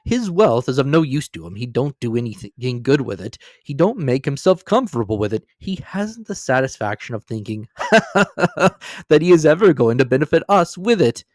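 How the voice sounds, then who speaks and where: A man voicing a jolly young gentleman nephew who laughs heartily, in a storybook reading. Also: medium pitch, sing-song, normal speed, dry recording, clean studio sound, voice pushed up, close to an everyday voice. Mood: jovial.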